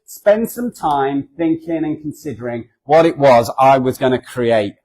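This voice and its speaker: A man's voice: medium pitch, lively intonation, measured pace, darkish tone, rough recording. A man in his forties with a southern English accent, giving a humorous, self-deprecating talk at a church and acting out voices in his stories. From southern England. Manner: chatty and self-deprecating, acts out voices in anecdotes. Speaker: guest speaker.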